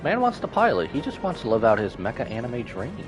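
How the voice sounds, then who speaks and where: A man's voice: medium pitch, huge pitch swings, brisk. A man live-streaming a game with casual commentary, musing aloud in short reactions over game music. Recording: music behind the voice.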